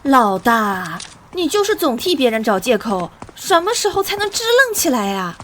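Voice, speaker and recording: A female actor voicing a loyal, indignant young police junior with a pouty manner in an animated drama. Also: sits high, moderate pace, slight background noise. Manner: pouty, exclamatory.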